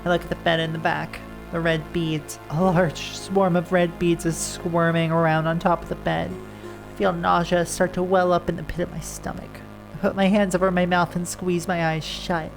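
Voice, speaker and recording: A woman's voice: on the low side, little pitch movement, moderate pace, gravelly, poor audio. A woman streaming a game, her voice very nasal and stuffed up from a winter cold. She reads the on-screen lines plainly in the first person and breaks off to chat with her viewers. Faint game music plays underneath. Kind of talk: casual chat with chat, reading game text aloud.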